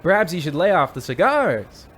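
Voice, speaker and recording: A man playing an excitable, folksy old-time radio baseball announcer with theatrical, exclamatory patter. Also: a little high, moderate pace, breathy edge, full character voice. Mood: excited. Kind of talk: 1940s radio sports commentary parody.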